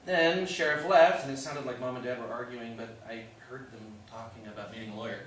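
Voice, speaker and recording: A man acting a concerned, gently prodding adult sibling in a staged play reading, with halting, conversational delivery. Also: medium pitch, steady tone, close to an everyday voice. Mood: uneasy. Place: live staged reading in an echoey room. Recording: very echoey room, distant mic, faint noise.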